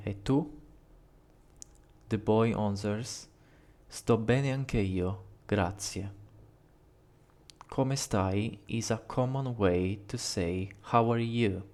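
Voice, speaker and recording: A man with an Italian accent, a native Italian speaker, giving a measured, carefully paced language lesson and reading short dialogue lines aloud. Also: fairly low pitch, a little mellow. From Italy. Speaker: language teacher. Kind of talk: slow scripted language lesson.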